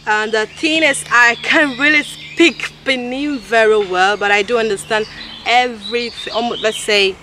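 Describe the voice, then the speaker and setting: A woman in her thirties from Nigeria with a Nigerian English accent, talking casually to camera in a halting way and often correcting herself. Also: on the high side. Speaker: vlogger. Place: outdoors in a park. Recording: noisy outdoor camera recording.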